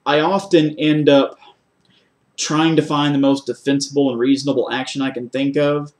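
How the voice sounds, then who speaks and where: A man with a mid-pitched voice speaks as a candidate in a formal job interview. He is measured and methodical, laying out his answers step by step. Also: level tone, a little mellow. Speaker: job candidate for a security officer post.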